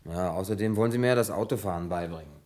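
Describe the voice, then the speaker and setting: A man's voice: low-pitched, rapid-fire, darkish tone, natural voice. An actor in a German radio play, playing a wry, good-natured man who grumbles in a dry, sceptical way. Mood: wry, modest.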